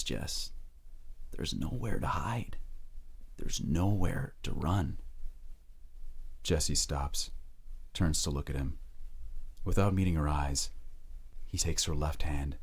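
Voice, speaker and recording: A man reading scripted dramatic narration in a measured, deliberate way. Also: very deep voice, husky.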